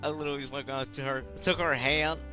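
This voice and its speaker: A man's voice: fairly high pitch, fairly even intonation, fast talker, sharp tone. A man giving casual live commentary as he plays a game, with its music behind him. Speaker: gamer reacting to gameplay.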